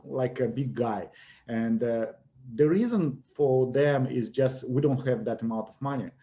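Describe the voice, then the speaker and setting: A man's voice: mid-range voice, mellow. Russian-accented man teaching stock investing. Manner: explanatory, repeats "I presume" and "okay". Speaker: investment course presenter. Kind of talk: webinar lecture over slides. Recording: slightly dull lo-fi webinar audio.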